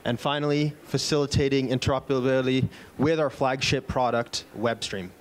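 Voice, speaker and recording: A man's voice: medium pitch, steady tone, a little fast. A man in his thirties giving a product keynote at a conference, upbeat, with a few fillers and restarts, speaking into a handheld mic on an indoor stage. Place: conference stage in a large indoor event room. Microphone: handheld microphone.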